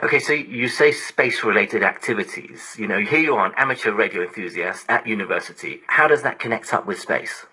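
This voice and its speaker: A man's voice: on the low side, fairly quick, hardly any pauses, bright, forceful tone, poor audio. A man interviewing a guest in an engaged, articulate way, heard over shortwave digital radio. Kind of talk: broadcast interview. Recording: shortwave digital radio reception, raspy and harsh.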